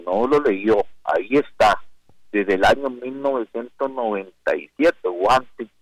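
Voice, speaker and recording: A man's voice: on the low side, dry recording. A man with a Costa Rican accent calling in to a radio show by phone, talking in an emphatic, rambling way. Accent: Costa Rican Spanish. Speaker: caller. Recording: phone line call-in.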